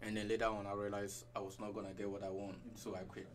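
A man in his thirties with a Ghanaian accent, a guest chatting in a radio studio, relaxed and mixing in Twi phrases. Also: on the low side, quick pace. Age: 30s. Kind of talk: casual radio interview. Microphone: headset and desk mic.

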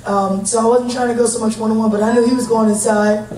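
A woman coach in her thirties speaks steadily and plainly at a post-game press conference. Her voice is mellow and her pitch stays fairly level. The sound comes from a distance, with a roomy echo and a faint hum behind it. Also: fast talker. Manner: steady, plain-spoken, upbeat. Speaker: head basketball coach. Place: large conference room. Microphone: table mic, heard from a distant camera. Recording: echoey room, distant mic, faint hum.

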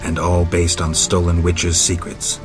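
A man acting a stoic, battle-weary monster hunter in a video game, speaking in a terse, deadpan way. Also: sits low, unhurried, over music. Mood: stoic, guarded.

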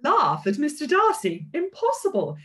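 A high-pitched, arch society lady, played by an actress in a period radio play. She is flirtatious and snobbish, and her voice swoops theatrically. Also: wildly swooping pitch, moderate pace, sharp tone, heavily acted. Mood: mock outrage, teasing. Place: home kitchen, on a video call. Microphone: video call.

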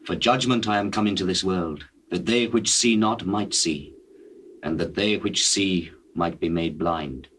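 An actor playing a solemn, authoritative holy teacher in dramatized scripture, declaiming in measured phrases with deliberate pauses. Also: male voice, sits low, a bit bright. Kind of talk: dramatized scripture, classical stage delivery. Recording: faintly noisy old cassette.

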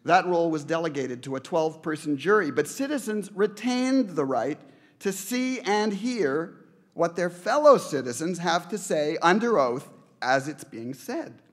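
A man's voice: a little high, very animated, unhurried. A middle-aged man from Canada giving an earnest acceptance speech at a podium in a large banquet hall, stressing his points with repeated phrases. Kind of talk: prepared speech read at a podium. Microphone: podium microphones.

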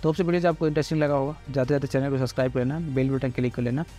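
A man giving a casual, rambling explanation over slides about a cricket pitch, packed with repeated fillers. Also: steady tone, warm tone, soft background music. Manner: rapid, rambling, heavy filler "jo hai". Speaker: cricket fantasy tipster. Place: voice-over on screen recording.

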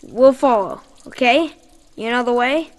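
A woman's voice: a little high, lively intonation, a little slow, long reverb tail. An actress playing an earnest, devout boy in a radio drama, plain-spoken, urgent and determined. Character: earnest, devout boy living rough underground. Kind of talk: post-apocalyptic radio drama acting.

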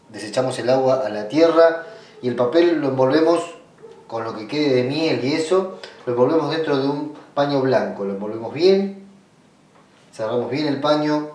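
A man with an Argentine Spanish accent giving an informal step-by-step demonstration in a halting way, often rephrasing himself. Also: medium pitch, a little mellow. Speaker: ritual practitioner giving a tutorial. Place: small indoor room at a table. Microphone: camera mic away from the speaker. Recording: roomy sound, distant microphone.

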